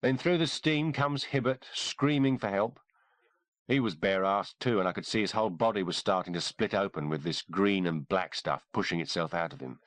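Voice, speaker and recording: A man voicing a gruff, blunt male army sergeant, shaken by what he has seen. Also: low-pitched, normal speed, dry recording, lightly acted. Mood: grim.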